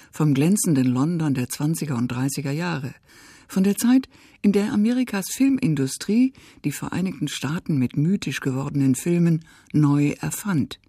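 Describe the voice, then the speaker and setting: A woman narrates a scripted book review with a husky, gravelly edge to her dark voice. Her delivery is crisp and even with lively emphasis, dry and close to the mic. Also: low voice, no room sound.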